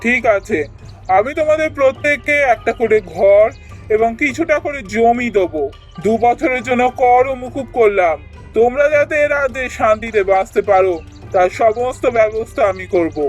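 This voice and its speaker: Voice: medium pitch, moderate pace, lightly acted. Cartoon king, played by an actor: just, kindly, formal and reassuring, over a music bed. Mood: benevolent, commanding. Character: kindly, just young king of a fairy-tale realm. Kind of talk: Bengali fairy-tale cartoon dubbing. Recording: music under the voice.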